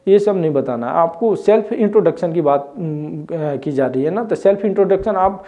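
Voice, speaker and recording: A man's voice: on the high side, expressive, normal speed, narrow, tinny audio. A man, teaching English at a whiteboard, recorded on a lapel mic in an indoor room, often asking viewers questions as he explains. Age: 20s. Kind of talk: whiteboard lesson.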